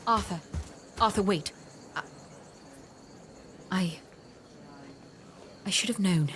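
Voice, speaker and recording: A woman's voice: mid-range voice, slow pace, fairly bright tone, slight background noise. An actress playing a distressed, loyal queen, urgent and emotional, in an audio drama.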